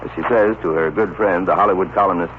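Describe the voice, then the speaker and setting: A man narrating an old-time radio soap opera as announcer, in a dramatic, measured, scripted way. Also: low voice. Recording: scratchy, narrow-band old radio recording.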